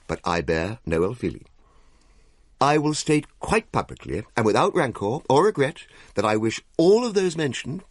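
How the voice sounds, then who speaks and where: A huffy posh-mannered victorian english gent, wordy, voice all over the place, bit husky, close dry radio drama. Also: male voice, on the low side, no room sound. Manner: florid, indignant, wordy. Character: pompous, flustered, tipsy Victorian gentleman recounting ghost tale. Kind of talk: radio comedy drama, theatrical storytelling.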